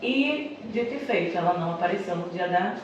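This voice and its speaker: A woman's voice: fairly low pitch, animated, fairly quick, dull audio. A middle-aged Brazilian woman, a schoolteacher speaking conversationally as an interview guest and telling personal stories. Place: echoey indoor room, far from the mic. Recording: echoey room, distant mic, noisy background.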